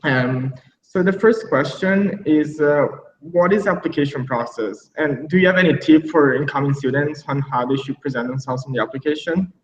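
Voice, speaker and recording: A man hosting a student panel, speaking in a halting way with restarts. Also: on the high side. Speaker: host. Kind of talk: moderating a video call panel. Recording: roomy, distant, dull mic sound.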